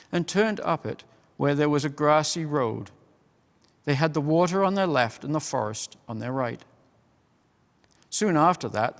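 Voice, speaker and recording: Male storybook reader, gravelly, slightly high, roomy reverb. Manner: measured storytelling. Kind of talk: reading a children's novel aloud. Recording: long hall reverb.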